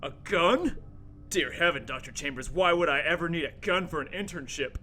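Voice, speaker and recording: A man's voice: fairly high pitch, lively intonation, moderate pace, pushed and bright, voice pushed up. Guy doing a nervous young male intern in a homemade radio play, melodramatic, confused, music behind him. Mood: nervous, incredulous. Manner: melodramatic, questioning. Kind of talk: amateur radio play acting.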